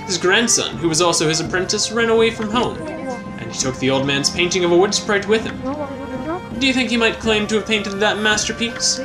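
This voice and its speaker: A man's voice: sits high, fairly quick, pitched above the performer's own voice, natural voice, poor audio. A man voicing a flamboyant, smug young male swordsman who teases theatrically and drops in French words. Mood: needling. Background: game music.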